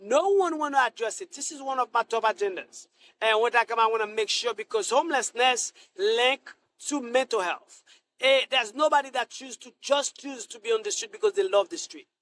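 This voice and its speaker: A middle-aged man from Haiti, a Senate candidate answering interview questions in a halting way, full of restarts and fillers. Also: extremely high pitch, fairly bright tone, slight background noise.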